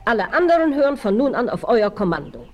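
A woman's voice: on the high side, big pitch swings, fast. A woman playing an anxious, earnest farmer's wife in a 1960s German radio play. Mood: alarmed. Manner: anxious, exclamatory. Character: alarmed, earnest farmer's wife, hospitable and capable. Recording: old vinyl, busy farmyard effects.

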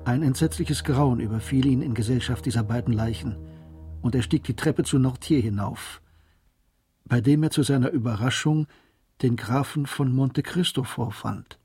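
A man acts as the sombre narrator of a tragic radio drama. His voice is dark and mellow and his reading measured, with faint music behind. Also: medium pitch, close to an everyday voice.

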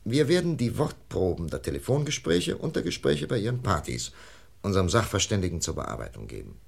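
An actor playing a calm, reassuring police inspector in a German radio crime play, measured and methodical. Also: male voice, lowish voice, gravelly, breathy edge, heavily acted. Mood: measured, explaining.

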